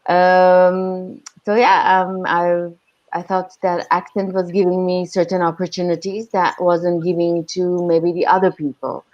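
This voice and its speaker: A woman from Iran with an Armenian accent, co-hosting a podcast and chatting casually over a video call in a plain-spoken, slightly halting way. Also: fairly low pitch, flat delivery, highs cut off. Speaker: podcast co-host.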